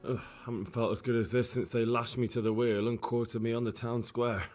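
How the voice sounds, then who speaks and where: A man's voice: medium pitch, steady tone, fairly quick, a little mellow, faint music behind, natural voice. A man acting an earnest, battered young blacksmith's son in a medieval video game drama, sounding weak and weary. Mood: tired, puzzled.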